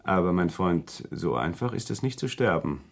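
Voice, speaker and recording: An actor playing a deranged, coolly menacing killer in a German radio crime drama, lecturing insistently and deliberately about his mission with a husky voice. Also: low voice, expressive, full character voice.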